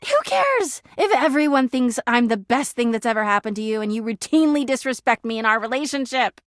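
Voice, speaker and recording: A woman's voice: fairly high pitch, expressive, a little fast, no room sound, studio quality, natural voice. A woman voicing a clingy, jealous, melodramatic girlfriend character in a video game, with a whiny, volatile manner. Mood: bitter, sarcastic. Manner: dramatic, whiny, emotionally volatile. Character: clingy, jealous, melodramatic female living household object, toxic girlfriend.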